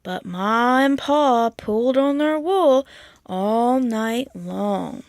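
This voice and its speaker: Woman, storybook read-aloud, slow pace, slightly high voice, dry close sound, expressive delivery. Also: no room sound. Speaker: storybook reader. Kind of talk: children's picture book read-aloud.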